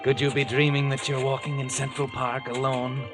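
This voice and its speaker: A man's voice: mid-range voice, flat delivery, lightly acted. Man as ominous radio mystery narrator over music. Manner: slow, dramatic, insinuating.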